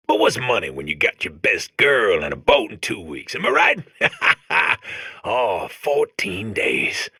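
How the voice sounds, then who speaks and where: A man's voice: fairly low pitch, gravelly, pushed and bright, breathy edge, dry recording, clean studio sound, exaggerated voice. A man playing a giddy, sentimental older robot worker counting down happily, folksy and gleeful, in a comedic video game. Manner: folksy, gleeful, drawn-out exclamations.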